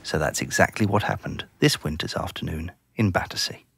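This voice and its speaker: A man's voice: low-pitched, brisk, creaky, fairly bright tone, a little hiss or hum. A man narrating a scripted children's story. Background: music.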